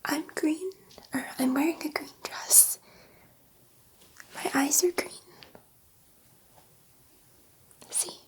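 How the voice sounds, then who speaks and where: A gentle alien scientist, played by a woman, whispers through an ASMR roleplay in a high, feminine voice. She is curious and soothing, taking her time and softly repeating reassurances to her human test subject. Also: takes it slowly. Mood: amused, reassuring. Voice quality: soft, breathy whisper.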